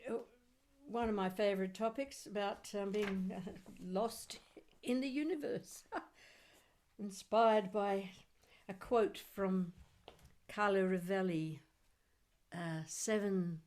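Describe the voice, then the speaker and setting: A woman reading her own poetry aloud, with deliberate, measured phrasing, dramatic pauses and short chatty asides. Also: mid-range voice, darkish tone. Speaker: poet reading own work.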